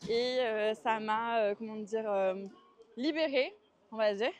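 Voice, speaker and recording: A woman's voice: on the high side, measured pace, clear, resonant tone. A woman in her twenties from Marseille with a Marseille accent, answering interview questions while walking, hesitant with many fillers. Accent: Marseille French. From France. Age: 20s.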